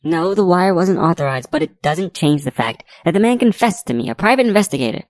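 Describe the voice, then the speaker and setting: A woman's voice: on the low side, animated, fairly quick, no echo, studio quality, pitched above the performer's own voice, lightly acted. A woman voicing a bold, argumentative, pleading female private investigator in an audiobook. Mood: insistent. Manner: insistent, argumentative.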